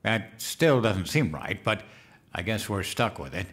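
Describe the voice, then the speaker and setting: Man acting a naive, eager, childlike president-elect, swooping pitch. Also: sing-song, measured pace, fairly bright tone. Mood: earnest, cheerful. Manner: guileless, simple-minded, earnest talk. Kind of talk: comedic audio drama acting.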